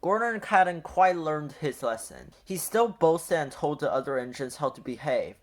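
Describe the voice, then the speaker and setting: A man reading a children's storybook aloud in an expressive storytelling style. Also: on the high side, normal speed. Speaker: children's book reader. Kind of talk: animated storybook read-aloud.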